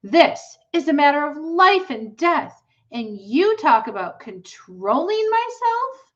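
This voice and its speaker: A high, emotional little farm girl from a storybook, as a woman reader plays her, earnest and emphatic, with drawn-out words. The recording is a little dull. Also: big pitch swings, heavily acted. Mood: tearful, indignant. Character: tearful, indignant young farm girl pleading passionately.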